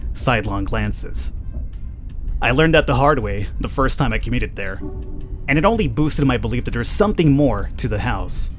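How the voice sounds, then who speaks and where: A man reading game narration aloud in a dramatic storytelling way, as a let's play gamer. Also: medium pitch.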